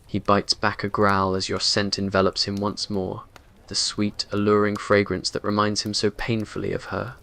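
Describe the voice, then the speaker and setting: A man's voice: fairly low pitch, monotone, normal speed, creaky, hardly any pauses, a bit bright. A man reading scripted dramatic narration for a romantic ASMR roleplay in an earnest, brooding way. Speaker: story narrator.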